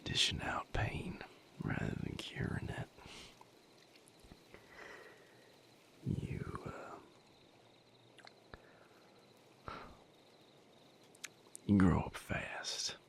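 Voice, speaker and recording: A man playing a wry, protective healer who fights vampires in an intimate audio roleplay, speaking haltingly in a breathy, gravelly low voice. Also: wildly swooping pitch, in a whisper, exaggerated voice. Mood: reflective, subdued.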